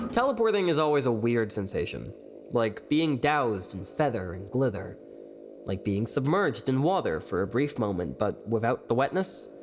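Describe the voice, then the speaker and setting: A man's voice: mid-range voice, background noise, natural voice. A man playing a weary, glamorous performer who narrates his own story in an audio drama with sardonic, theatrical grumbling. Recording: music behind the voice.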